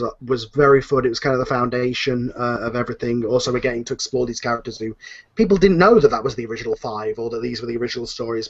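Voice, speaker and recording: Male panel host, opinionated and rambling, mid-range pitch, dull low-fidelity call audio. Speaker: host and audio drama series creator. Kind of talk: casual unscripted panel discussion.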